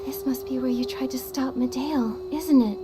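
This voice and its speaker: A woman playing a kind, determined young heroine in a story game, with a gentle, earnest, reassuring manner. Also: sits high, moderate pace, noisy background, natural voice. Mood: gently insistent. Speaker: voice actor. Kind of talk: dramatic game voice acting. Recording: very loud music behind the voice.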